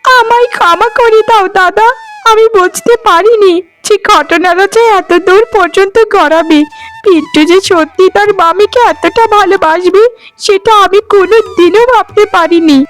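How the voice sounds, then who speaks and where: An emotional village woman from a cartoon, acted by a woman, with a very high voice. She speaks in a pleading, melodramatic way. Music runs behind her. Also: moderate pace, fairly bright tone. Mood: remorseful, desperate. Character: emotional, remorseful village women of one family. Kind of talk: Bengali cartoon voice acting.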